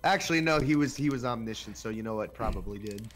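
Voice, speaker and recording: A man's voice: mid-range voice, quick pace, fairly bright tone. A man joking sarcastically and swearing in a casual gaming voice chat. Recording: faint game music behind.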